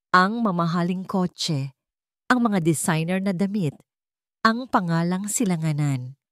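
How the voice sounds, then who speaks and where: A woman's voice: lowish voice, no room sound, studio quality. A woman with a Filipino accent reading a dramatic story as its narrator in scripted storytelling style.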